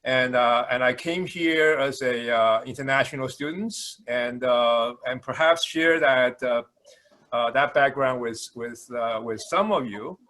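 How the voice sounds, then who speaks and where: Halting older man with a Taiwanese accent. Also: medium pitch, fairly bright tone. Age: 60s. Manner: halting, frequent hesitations and repetitions. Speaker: university administrator introducing himself. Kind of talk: informal introductory speech. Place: video call.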